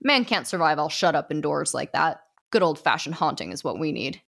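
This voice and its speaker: A woman voicing a gruff, grizzled male lumberjack ghost who growls his words bluntly. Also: low voice, sing-song, brisk, a bit bright, heavily acted.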